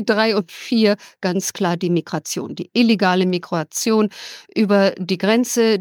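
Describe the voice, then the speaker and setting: A woman's voice: medium pitch, a little mellow, no echo, studio quality. A woman correspondent answering interview questions in an emphatic, opinionated and wry way. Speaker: correspondent in the USA. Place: USA.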